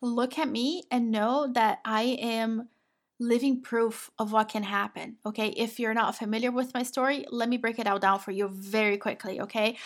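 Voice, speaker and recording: Woman, Brazilian Portuguese accent, slightly high-pitched, encouraging and affectionate motivational podcast host. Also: steady tone, moderate pace. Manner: encouraging, affectionate, addresses listener as "my love". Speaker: podcast host and women's business coach.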